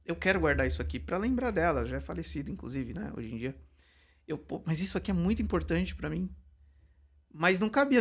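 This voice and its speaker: Chatty teacher with a higher voice. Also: male voice. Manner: storytelling, animated, laughs often. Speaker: Portuguese and creative writing teacher, guest. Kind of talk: casual podcast conversation.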